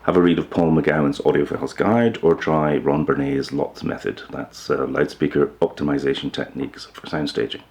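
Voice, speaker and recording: Very deep, gravelly male presenter. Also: steady tone, moderate pace, few pauses, darkish tone. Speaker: host of a hi-fi upgrade video channel. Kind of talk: informal explainer to camera.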